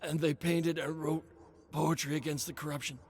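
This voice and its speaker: Man playing guilt-ridden drugged agent, high strained pitch, halting stammer, frightened confession, audiobook drama. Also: little pitch movement, close to an everyday voice. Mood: bitter, urgent. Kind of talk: dramatic audiobook character acting.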